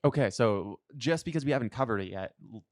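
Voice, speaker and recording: A man's voice: medium pitch, expressive, quick pace, no room sound, studio quality. A man co-hosting a podcast, chatting in an agreeable, informal way. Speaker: podcast co-host. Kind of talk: casual chat. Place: podcast studio.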